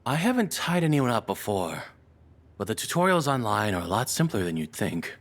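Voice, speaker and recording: A man playing a nervous, lovestruck kidnapper in an audio roleplay, apologetic and obsessive, speaking in halting, rambling stammers. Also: on the low side, sing-song. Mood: awkward explaining. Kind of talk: intimate dramatic audio roleplay monologue.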